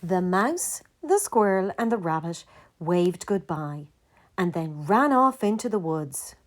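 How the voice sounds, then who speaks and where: A woman storyteller reading to children. Her voice sits a little low, and she goes very slowly and gently, giving each phrase room. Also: big pitch swings. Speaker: storyteller reading a picture book aloud.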